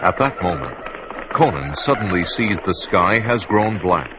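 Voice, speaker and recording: A man narrating a vintage radio adventure drama in a measured, dramatic way, on a muffled, hissy recording. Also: low-pitched, sing-song.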